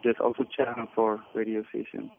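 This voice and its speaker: A man's voice: fairly low pitch, monotone, fairly quick, vocal fry. A man in his twenties from Sudan, a musician guest talking in a hesitant way with many fillers. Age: 20s. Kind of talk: phone interview. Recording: dry tinny phone line.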